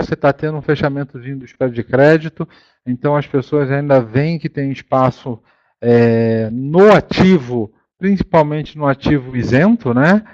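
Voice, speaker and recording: A Brazilian man with a mid-pitched voice presents informally as a fund manager on a conference call. He explains in a halting way, repeating words and correcting himself. The audio is dull and lo-fi, with a very dry sound. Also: darkish tone, no echo.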